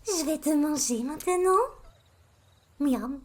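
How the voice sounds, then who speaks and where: A woman's voice: high voice, expressive, takes it slowly, a little mellow, a little hiss or hum. A woman voicing a bubbly, awkward young spider monster in a cartoon dub, eager and playfully menacing. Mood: playful menace. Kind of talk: cartoon fan dub acting.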